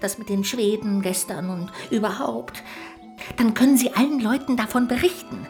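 Lady doing a small sad ghost for a kids radio play, contrite n earnest, very expressive, music behind. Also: mid-range voice, normal speed, lightly acted. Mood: dismayed, self-reproachful. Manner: expressive, lamenting, swinging between exclamations and hushed confiding. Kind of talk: radio play acting for children.

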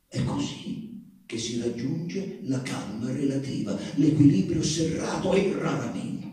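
A man giving a dramatic theatrical reading of poetic prose, measured, with drawn-out words and swells in his delivery. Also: fairly high pitch, mellow. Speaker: actor giving a literary reading. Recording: distant, very echoey roomy sound.

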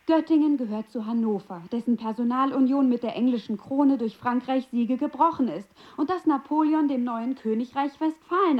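An actress playing a sharp-witted wife in a radio play, lecturing her husband reproachfully on politics. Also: on the high side, lo-fi sound, close to an everyday voice.

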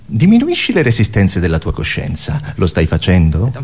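Man playing bossy quack hypnotist, low register, husky, coaxing commands, absurdist comic theatre. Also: huge pitch swings, darkish tone, heavily acted. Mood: coaxing, controlling. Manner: slow coaxing commands, short questions. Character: smooth-talking, domineering quack hypnotist.

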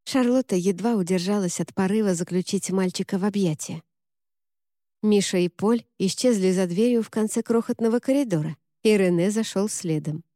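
A woman narrating a novel in an even, measured voice. Her tone is mellow and dark, and the recording is dry and close. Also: medium pitch, dry recording. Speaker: audiobook narrator. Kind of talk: scripted narration.

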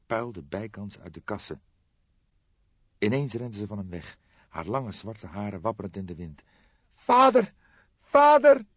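A man's voice: sits low, breathy edge. A man narrating a crime novel as an audiobook, reading scripted prose in a dramatic storytelling style. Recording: dull lo-fi audiobook, roomy echo.

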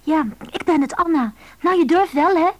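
A high-pitched teenage schoolgirl, confiding and impulsive. She swings between defiance and tenderness in intimate radio-play phone monologues, lonely underneath it all. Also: moderate pace, no room sound. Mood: defiant, excited. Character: lonely, moody seventeen-year-old schoolgirl confiding by phone.

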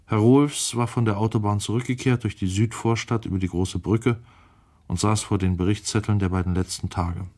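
A man's voice: lowish voice, steady tone, normal speed, warm tone. A man reading a novel aloud as scripted literary narration. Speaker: audiobook reader.

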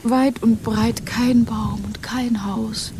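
An actress playing a coy, whimsical young Parisian mademoiselle in a radio crime drama. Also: female voice, on the high side, fairly even intonation, slow pace. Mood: wry, composed. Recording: noisy, with rain and thunder.

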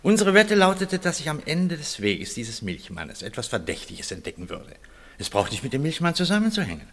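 Theatrical amateur detective, swooping voice. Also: male voice, on the high side, wildly swooping pitch, a little fast, fairly bright tone, exaggerated voice. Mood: urgent. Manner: emphatic, insistent, dramatic. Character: eager, suspicious amateur male detective, stubborn and theatrical. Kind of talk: German radio play acting.

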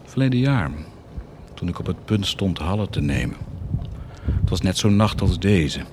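A cruel military commander in a radio drama, very low in voice and swinging widely in pitch. He is sardonic, taunting and volatile, over clearly audible recording noise. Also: sing-song, normal speed. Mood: brusque, commanding. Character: cruel, mocking, volatile male army commander. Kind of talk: theatrical radio drama acting.

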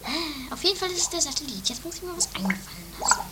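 An extremely high, squeaky woman figure, from an improvised trash radio play. She is dramatic, smug and muddled, rambling to herself. The old eighties tape is heavily noisy. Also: big pitch swings, fast. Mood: smug, scatterbrained. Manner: rambling, muddled, self-satisfied. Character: Doris, dramatic, scatterbrained, boastful sister, self-styled immortal. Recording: noisy amateur 1980s tape.

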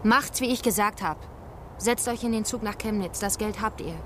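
A woman's voice: mid-range voice, moderate pace, a little hiss or hum, natural voice. An actress in a radio drama playing a brusque, impatient woman with a firm, clipped manner. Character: brusque, impatient woman helper pushing migrants onward.

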